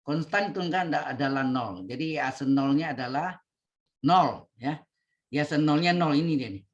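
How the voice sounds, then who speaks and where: A man's voice: on the high side, moderate pace, muffled recording. A male lecturer, walking students through a calculus problem on a video call, repeating each step and often checking in with them. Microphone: computer mic on video call.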